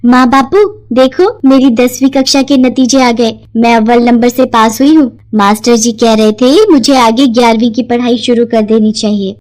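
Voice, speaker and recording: A woman's voice: a little high, fairly even intonation, normal speed, few pauses, darkish tone, natural voice. A woman voicing an eager, caring teenage schoolgirl in an earnest storybook cartoon manner. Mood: excited, proud.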